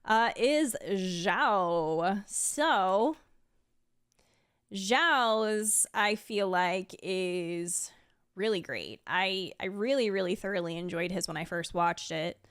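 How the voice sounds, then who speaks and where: A woman's voice: mid-range voice, takes it slowly, a bit bright, clear, resonant tone, no echo. Woman in chatty commentary, dry close sound. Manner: chatty, rambling, gushing asides. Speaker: video creator and game streamer. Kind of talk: casual reaction commentary, ranking game trailers. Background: faint music.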